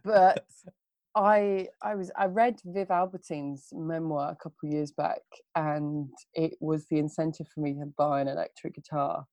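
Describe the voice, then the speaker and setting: A young woman with a lower-pitched voice, chatting in a bubbly, self-mocking way and laughing at her own stories. The sound is dry and close, as if over a remote call microphone. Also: steady tone, moderate pace, warm tone, dry recording. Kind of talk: casual podcast chat.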